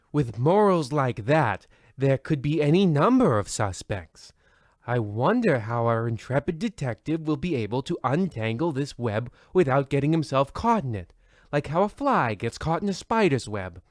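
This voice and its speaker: Male actor as melodramatic mystery show host, animated range, pompous, over-explaining, dry studio sound, 1940s radio parody. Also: mid-range voice, dry recording, close to an everyday voice.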